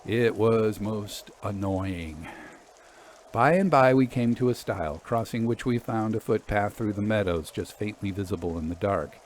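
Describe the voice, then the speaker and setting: A man reading scripted narration as a storytelling host, expressive and deliberate. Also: lowish voice.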